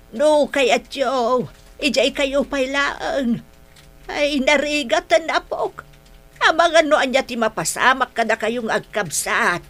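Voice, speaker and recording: A woman's voice: fairly high pitch, fairly bright tone, heavily acted. An actress with an Ilocano accent playing a concerned old grandmother in a radio drama, sympathetic and fretting.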